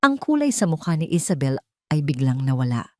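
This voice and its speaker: A woman narrates a scripted drama. Her voice sits low, and the recording is close and dry, with no room sound. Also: big pitch swings, a little fast, dry recording.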